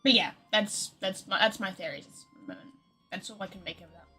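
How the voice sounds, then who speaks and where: Bright-voiced woman streamer. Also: medium pitch, brisk, husky, faint music behind. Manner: rambling, speculative, trailing off. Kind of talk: casual livestream commentary.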